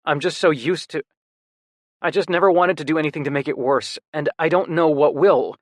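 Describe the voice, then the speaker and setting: A man's voice: fairly high pitch, brisk, dry recording, studio quality, pitched above the performer's own voice, close to an everyday voice. A man voicing a worried, protective younger brother, urgent and pleading, swearing when upset.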